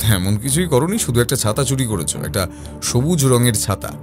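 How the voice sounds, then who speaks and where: A man playing a composed detective who recounts his investigation in a measured, explanatory first-person narration. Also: medium pitch, expressive, natural voice. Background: background music.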